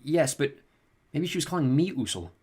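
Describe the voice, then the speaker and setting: A man's voice: a little high, very animated, normal speed, a little mellow, husky, voice pushed up. A man voicing a serious, guarded teenage boy who speaks earnestly in an audiobook reading.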